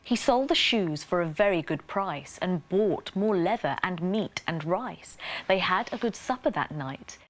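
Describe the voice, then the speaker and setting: A woman presenting a scripted children's bedtime story in an expressive, sing-song rhyming delivery. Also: lowish voice, measured pace, few pauses.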